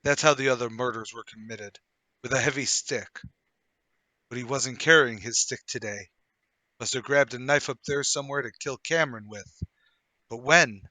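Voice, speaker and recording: A man playing a hearty, brash English-gentleman type with theatrical banter in a radio play table read. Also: medium pitch, normal speed, dry recording, lightly acted. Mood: grave, urgent. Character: cheery, brash gentleman brother-in-law with hidden cunning.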